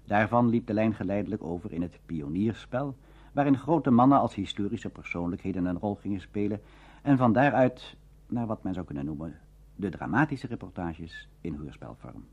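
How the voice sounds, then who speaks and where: A man narrating a scripted documentary lecture in a formal, measured manner. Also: on the low side, animated, darkish tone, slightly rough, highs cut off.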